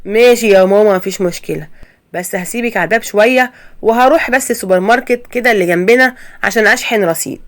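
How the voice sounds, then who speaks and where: A woman with an Egyptian accent voicing a young husband, earnest and insistent, in her own fairly high voice. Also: fast, natural voice. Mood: easy. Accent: Egyptian Arabic. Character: young husband, shocked and resolute.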